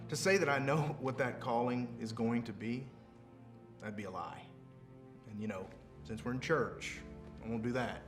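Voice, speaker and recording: A devout, earnest preacher acted by a man speaks in a mid-range voice. He is measured and reflective, pausing often, with a gently self-deprecating touch. Music is clearly audible behind the sermon. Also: mid-range voice, natural voice. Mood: sincere, gently wry. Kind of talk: sermon in a film drama.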